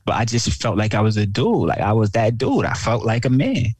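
A man's voice: fairly low pitch, fairly quick, breathy edge, no room sound. Slangy man in a casual chat. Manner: slangy and animated, repeats himself, says "bro" often.

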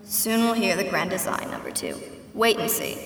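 A woman's voice: medium pitch, huge pitch swings, moderate pace, vocal fry, fairly bright tone, heavily acted. A woman playing a bossy, scheming bat-like creature villain in a theatrical radio drama. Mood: pompous, insistent. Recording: echoey hall, distant mic, faint noise.

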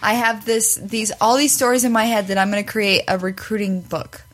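A woman's voice: mid-range voice, fairly even intonation, moderate pace, fairly bright tone. A woman hosting a podcast, chatting casually with a guest and laughing often. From United States. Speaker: podcast host. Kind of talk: casual chat.

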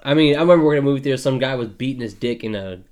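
A man's voice: mid-range voice, very fast, darkish tone. Blunt man in casual podcast banter. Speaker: podcast host. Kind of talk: casual chat, profane banter.

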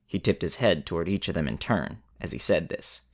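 A man narrating a scripted story in a measured way with dramatic pauses. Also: deep voice, lively intonation, gravelly.

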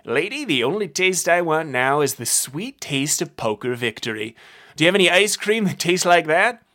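Brash, crude detective tough guy, swaggering and loud, voiced by a man narrating. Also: fairly high pitch, sing-song, normal speed, voice pushed up, exaggerated voice. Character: brash, blustering male detective, crude and self-assured.

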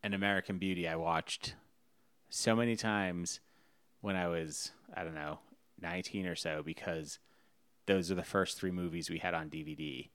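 A man's voice: low voice, fairly even intonation, moderate pace, a bit bright, clear, resonant tone, no echo. A man co-hosting a casual chat, quizzing his partner in a playful, rambling way.

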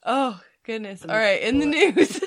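A woman's voice: on the high side, animated, measured pace, no room sound, clean studio sound. A woman co-hosting a podcast in casual chat, playful and laughing, often mimicking others. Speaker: podcast co-host.

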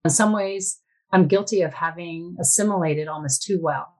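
A woman speaks in a somewhat low register. She is measured and thoughtful, reflecting on her own experience as a guest would in an interview. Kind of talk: reflective personal talk.

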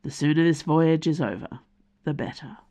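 A woman voicing a weary, gruff middle-aged male mercenary father, with a dry, understated delivery. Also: low-pitched, moderate pace, mellow, natural voice.

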